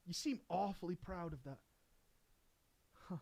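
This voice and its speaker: A man's voice: high voice, a little slow, gravelly, a little mellow. A man streaming, reading a viewer's story aloud and commenting on it sarcastically, swearing and laughing often. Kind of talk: reaction commentary on a read-out story.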